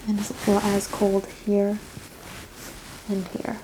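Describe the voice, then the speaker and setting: A soft-spoken woman doing an ASMR clinical exam roleplay, giving step-by-step instructions and often dropping into whispers. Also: medium pitch, completely flat delivery, takes it slowly. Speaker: ASMR performer playing a medical examiner. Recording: clear background noise and ambience.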